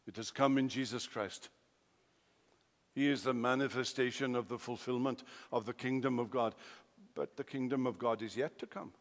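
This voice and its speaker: Welsh preacher with booming hall echo. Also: male voice, steady tone, moderate pace. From Wales. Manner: emphatic, swings between loud declarations and quiet asides. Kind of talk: expository sermon. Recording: long hall reverb.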